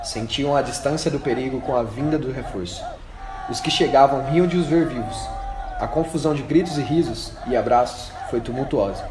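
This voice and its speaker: A man's voice: medium pitch, fairly quick. A man reading scripted narration for an amateur radio drama. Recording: echoey room with faint noise.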